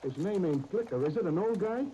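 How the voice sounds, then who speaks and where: Pushy, high-pitched, fast-talking agent. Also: male voice, animated, dark tone. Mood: excited. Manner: brash, streetwise slang, badgering. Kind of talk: 1930s radio serial acting. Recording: noisy 1939 radio broadcast disc.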